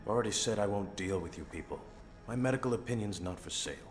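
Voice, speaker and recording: Deep-voiced wary clinical doctor played by a man. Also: fast, close to an everyday voice. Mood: guarded. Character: wary, clinical older male doctor and pathologist. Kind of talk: measured dramatic video game acting. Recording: faint music behind.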